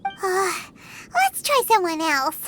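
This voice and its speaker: A woman voicing a small childlike fairy companion in a video game, squeaky, excitable and blunt, with cheeky eagerness. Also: slow, background noise, full character voice. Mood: curious, miffed. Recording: loud game music behind.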